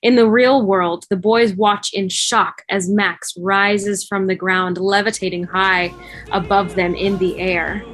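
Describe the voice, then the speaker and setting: A woman's voice: medium pitch, fairly even intonation, slow, fairly bright tone, faint music behind. A woman reading scripted stage directions as narrator of a fan table read over a video call. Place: remote video call, music behind.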